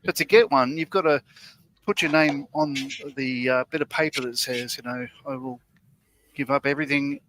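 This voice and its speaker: A man's voice: mid-range voice, normal speed, a bit bright, low-quality recording. A man co-hosting a casual chat, talking in a rambling, hesitant way with many fillers. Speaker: co-host.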